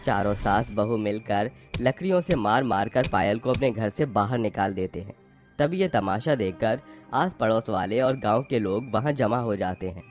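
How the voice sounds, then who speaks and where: A man reads a scripted moral tale as narrator. His voice sits fairly low, and soft music plays underneath. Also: animated, moderate pace. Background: faint music.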